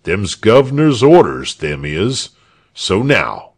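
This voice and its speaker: Man putting on a gruff, bossy rustic soldier. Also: on the low side, sing-song, slow, exaggerated voice. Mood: officious, stubborn. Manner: rustic ungrammatical speech, blunt and bossy. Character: gruff, stubborn rustic common soldier, officious bully.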